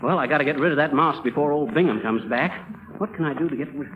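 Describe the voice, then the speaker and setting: An actor playing a folksy, wisecracking husband with a wry, colloquial way of talking in a 1930s radio comedy. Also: male voice, on the high side, fast, very noisy, close to an everyday voice. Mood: exasperated. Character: folksy wisecracking husband fretting over a mouse. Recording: dull old 1930s radio recording.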